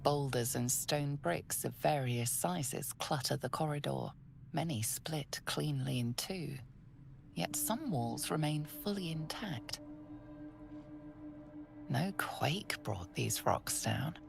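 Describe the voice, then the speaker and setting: A woman voicing the solemn, all-knowing narrator of a fantasy video game in a measured, hushed, deliberate delivery. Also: deep voice, animated, creaky, over music, exaggerated voice. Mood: ominous, hushed.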